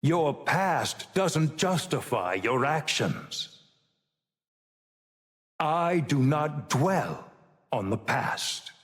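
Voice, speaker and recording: An ancient, wise old male mentor from a video game. He is solemn and cryptic, measuring out each phrase slowly in a mid-pitched voice that rises and falls widely. A long hall reverb trails behind his grave delivery. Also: very animated, exaggerated voice. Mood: grave, reflective. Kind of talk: video game hero voice lines.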